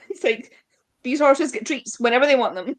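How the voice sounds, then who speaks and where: A woman with a fairly high voice talks informally as a book show co-host. She retells scenes in loose, casual phrasing and acts out what people say. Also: animated, normal speed. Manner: informal, acts out quoted dialogue. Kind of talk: casual chat about books.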